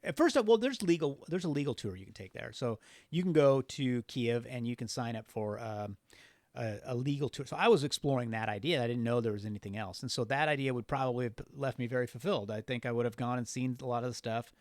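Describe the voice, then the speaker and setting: A man's voice: medium pitch, quick pace, no echo, studio quality. A man telling stories in loose, casual conversation, unfiltered and freely swearing. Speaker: podcast guest. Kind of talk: casual, rambling conversational storytelling.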